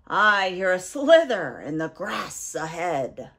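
Woman putting on the big, slow, loud Gruffalo monster. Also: fairly low pitch, sing-song, pitched below the performer's own voice, exaggerated voice. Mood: wary, doubtful. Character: big scary gullible male monster, the Gruffalo.

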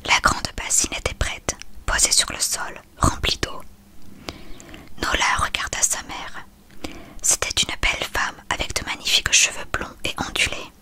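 A person reading a novel aloud in a breathy whisper, in scripted narration. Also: a little slow. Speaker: audiobook reader.

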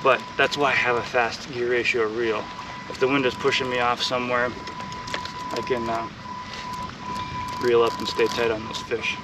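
A young man teaching fishing from a kayak on a lake outdoors, talking in a relaxed way to an action camera. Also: mid-range voice, moderate pace, long pauses. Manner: relaxed explaining, bursts of excited shouting when fish bite. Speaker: fishing host. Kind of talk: casual on-the-water instruction. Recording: distant mic with wind and water noise.

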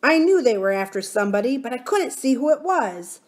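A woman's voice: fairly high pitch, very animated, fairly quick, voice pushed up. Woman voicing a bold, cheerful young miner boy. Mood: confident. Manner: plain-spoken, matter-of-fact, self-assured. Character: confident cheerful twelve-year-old miner boy, blunt and fearless.

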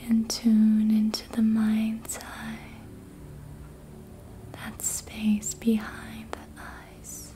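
A woman whispering a guided relaxation in ASMR style, soothing and hushed, in short unhurried phrases. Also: fairly high pitch, monotone. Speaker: ASMR host guiding relaxation during a facial massage.

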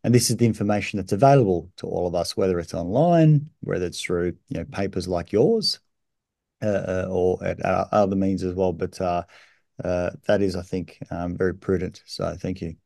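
A man's voice: sits low, normal speed, creaky, warm tone, no room sound, clean studio sound. A man hosting a podcast, asking interview questions over a video call, hesitant with many fillers and repeated words. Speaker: podcast host. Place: indoor room.

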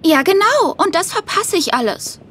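A woman's voice: extremely high pitch, big pitch swings, normal speed, fairly bright tone, heavily acted. An actress playing a spirited, curious twelve-year-old girl in an eager, exclamatory way for a children's audio drama. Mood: wistful.

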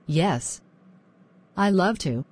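A man's voice: high voice, extremely slow, slightly rough, voice pushed up, heavily acted. A man doing a sweet young girlfriend voice. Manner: calm, soothing, gently scolding.

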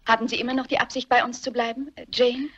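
An actress playing a courteous, dutiful daughter in a radio play, polite, hesitant and a little flustered. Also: female voice, fairly high pitch, flat delivery, quick pace, lo-fi sound, noisy background, natural voice. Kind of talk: German radio play acting.

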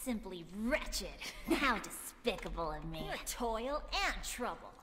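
Woman playing a wicked, echoing dark goddess. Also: medium pitch, animated, unhurried, noisy background, close to an everyday voice. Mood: gleefully wicked. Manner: theatrical, taunting, disdainful. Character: wicked, mocking dark goddess queen of oblivion. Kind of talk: video game character voice lines. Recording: long cavernous reverb under game music.

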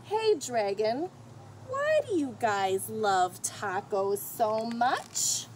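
A middle-aged woman reading a picture book aloud to children in an expressive, emphatic way, recorded outdoors on a camera a short distance away. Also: fairly high pitch, very slow. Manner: expressive, emphatic, addressing children and characters directly. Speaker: children's story reader. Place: outdoors on a fenced patio or deck. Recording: outdoor camera audio with faint noise.

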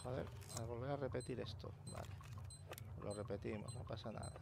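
A man's voice: medium pitch, brisk, gravelly, background noise. A man with a European Spanish accent giving casual, sardonic gameplay commentary, muttering and swearing as he goes. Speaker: gaming YouTuber. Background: loud game music.

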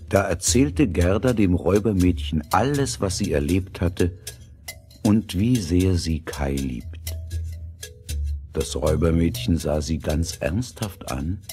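A man's voice: low voice, slow, warm tone, soft background music. A man narrating a scripted fairy tale as the storyteller of an audio play.

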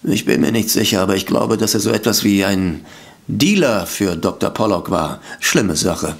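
An evasive, formal sanatorium director. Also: male voice, lowish voice, lively intonation, normal speed. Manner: formal, hesitant, self-justifying. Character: evasive male sanatorium director, polite, defensive doctor. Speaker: voice actor in audio drama. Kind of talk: German detective radio play acting.

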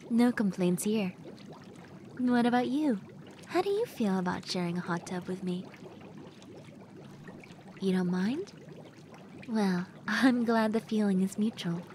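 A woman acts out a friendly young woman relaxing with her crush in an audio roleplay. She speaks slowly at a medium pitch, intimate and gently teasing. Hot tub water runs clearly behind her voice. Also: natural voice. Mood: friendly, musing. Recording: steady running water noise behind voice. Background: bubbling hot tub water sounds.

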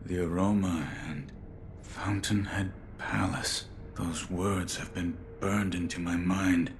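Loyal stoic ninja retainer, extremely deep, terse, grave, deferential, game dialogue, ambient noise. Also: male voice, steady tone, takes it slowly. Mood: grave, reflective. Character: stoic, loyal adult male shinobi retainer. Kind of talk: scripted video game dialogue.

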